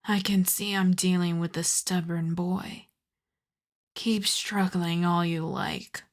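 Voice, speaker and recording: Slow, haughty vampire countess, acted by a woman. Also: fairly low pitch, little pitch movement. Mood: amused, domineering. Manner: deliberate, haughty, teasing, drifting into breathy whispers. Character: predatory aristocratic older female vampire countess, teasing and imperious. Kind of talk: ASMR roleplay, slow theatrical monologue.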